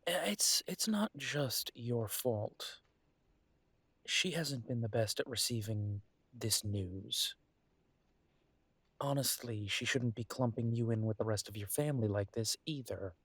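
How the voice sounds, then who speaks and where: A man playing a caring, weary werewolf detective boyfriend in an intimate roleplay audio drama, speaking in an earnest, gently coaxing, conversational way. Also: medium pitch, measured pace, breathy edge, no echo. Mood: apologetic, weary.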